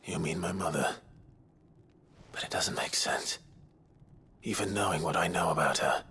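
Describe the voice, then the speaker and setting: A man's voice: low voice, moderate pace, sharp tone, slightly rough, full character voice. An actor playing a brooding exiled nobleman warrior, terse and grave, in a fantasy video game. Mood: troubled, hushed. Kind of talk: dramatic video game cutscene acting.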